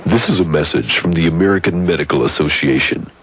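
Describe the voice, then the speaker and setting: A man playing a grave, deliberate announcer in a parody public service radio message. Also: sits low, slightly rough. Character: solemn male announcer of a mock medical appeal. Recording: muffled old radio broadcast tape.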